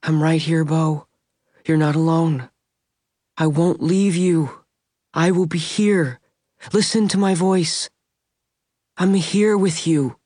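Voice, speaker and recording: A man voicing a tender, grieving female vampire who talks softly and pleads as she reassures someone. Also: a little high, moderate pace, long pauses, no room sound, studio quality, voice pushed up, lightly acted. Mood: soothing. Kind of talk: audiobook character voice.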